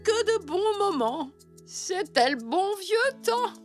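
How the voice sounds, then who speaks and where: A woman's voice: very high-pitched, very animated, takes it slowly, soft background music, heavily acted. A woman voicing a kindly, nostalgic elderly great-grandmother zebra in a French-language children's cartoon. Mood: fondly nostalgic.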